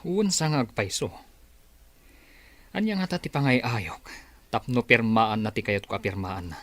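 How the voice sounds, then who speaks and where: A man acting as a greedy schemer in an audio drama. His mid-pitched voice swings widely as he talks, impatient and menacing, in a very dry, close recording. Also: expressive, normal speed, a bit bright, dry recording, natural voice. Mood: scheming. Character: greedy, conniving businessman plotting to seize control.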